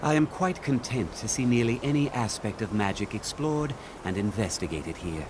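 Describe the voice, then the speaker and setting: An actor playing a stern, dignified archmage in a fantasy video game, speaking in a formal, measured and authoritative way. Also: male voice, lowish voice, lively intonation, noisy background, natural voice. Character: stern, dignified male archmage of a magic college. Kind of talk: video game character dialogue.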